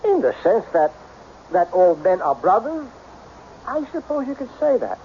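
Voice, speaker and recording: A man's voice: high voice, very animated, moderate pace. A man playing a wealthy, impatient businessman in a radio mystery, curt, imperious and easily exasperated. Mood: brusque, puzzled. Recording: dull, noisy old radio recording.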